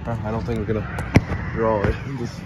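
A young man vlogging outdoors on a handheld phone, giving a halting, mumbly walkthrough of an abandoned building. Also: fairly low pitch, level tone. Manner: mumbly, halting, talks to himself. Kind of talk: rambling handheld walkthrough commentary. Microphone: handheld phone camera. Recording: loud traffic and outdoor noise.

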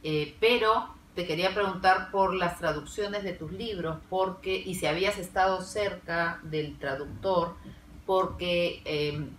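A woman moderating a university panel, asking measured questions with some fillers. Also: fairly low pitch, fairly bright tone. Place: university lecture room, seated at panel table. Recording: roomy, distant, faint hiss.

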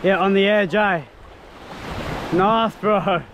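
A man's voice: sits high, slow pace. A young man filming himself fishing from a tropical beach, exclaiming and laughing as fish bite, recorded on a head-mounted action camera. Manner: excitable, exclaiming, laughing often. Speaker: fishing vlogger. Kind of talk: excited fishing commentary. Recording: wind noise on outdoor camera mic.

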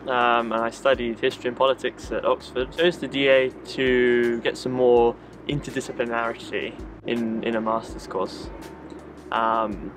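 A young man from the United Kingdom, a master's student answering interview questions outdoors, brisk and repeating words. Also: mid-range voice, fairly even intonation, over music, low-quality recording. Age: 20s. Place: outdoor campus courtyard.

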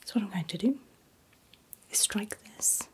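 A middle-aged woman speaking in a gentle, breathy whisper in short soft phrases, as a doctor in an ASMR roleplay. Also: medium pitch, lively intonation, moderate pace. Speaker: ASMR performer playing a doctor.

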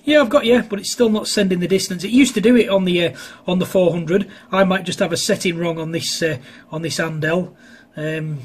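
A man with a high, rather husky voice. He talks as a radio ham in casual contact, chatty and wandering, often hesitating mid-thought. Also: a little fast. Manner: chatty, rambling, hesitant delivery. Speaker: amateur radio operator. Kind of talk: casual two-way radio chat. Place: indoors at a home radio station.

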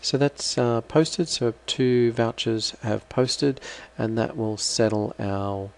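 A man giving an unscripted, halting step-by-step software walkthrough as a trainer. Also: medium pitch. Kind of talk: screen-recorded software walkthrough.

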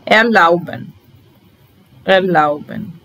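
A young woman teaching German vocabulary in a slow, deliberate drill, saying each word and repeating it calmly. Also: lowish voice, rough recording. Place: small indoor room at a desk.

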